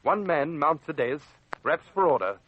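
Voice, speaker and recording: A man's voice: fairly high pitch, lively intonation, normal speed, a little hiss or hum. A man narrating in a scripted, dramatic old radio style as the announcer of a drama. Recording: dull vintage radio recording with music.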